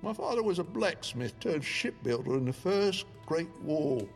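An actor playing a very old English man with a London accent, telling his life story in a measured, theatrical, grave way for an audio drama. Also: on the high side, sing-song, creaky, exaggerated voice. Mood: grave, reminiscing. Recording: music behind the voice.

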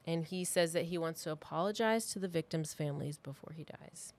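A woman's voice: low voice, a little fast. A woman co-hosting a true crime podcast, telling the story informally from her notes in a conversational way.

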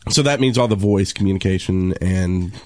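Low-voiced man in casual podcast banter. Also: moderate pace, no room sound. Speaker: podcast co-host.

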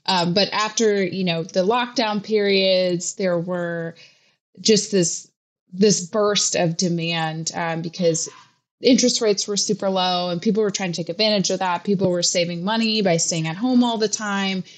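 A woman's voice: medium pitch, steady tone, moderate pace. Casting: young woman reporter from Hawaii, casual and chatty, lots of "um" and "like.". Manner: chatty, with many fillers and restarts. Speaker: real estate reporter. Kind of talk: casual podcast interview.

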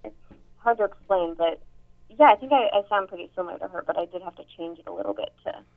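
Young woman with a deep voice on a tinny line. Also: fast. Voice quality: somewhat deep voice. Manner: chatty, many fillers and restarts. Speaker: actress and voice actor. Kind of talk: casual interview chat.

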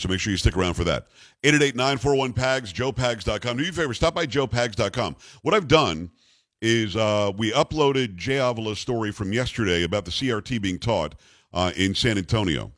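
A man's voice: fairly low pitch, no room sound. A man hosting a talk radio show, speaking bluntly and emphatically, and reading ads live from the studio.

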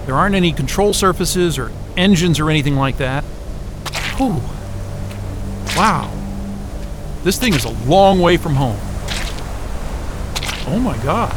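An actor playing a wry, foul-mouthed, caring explorer in a naturalistic science fiction audio drama, talking casually with muttered hesitations. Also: male voice, a little high, lively intonation, measured pace, pauses often, noisy background. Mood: awed.

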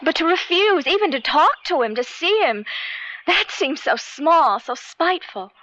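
A hurt, lovelorn wife in a radio drama, torn over her estranged husband. Her voice is high and the recording is somewhat dull. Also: sing-song, measured pace, pushed and bright, heavily acted. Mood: anguished. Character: wounded, lovelorn estranged wife confiding in priest or father. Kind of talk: 1970s radio drama acting.

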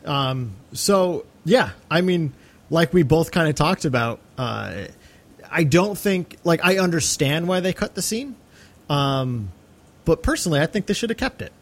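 A man's voice: a little high, animated, measured pace. A man co-hosting a podcast, giving opinions in a casual, conversational film analysis. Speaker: podcast co-host.

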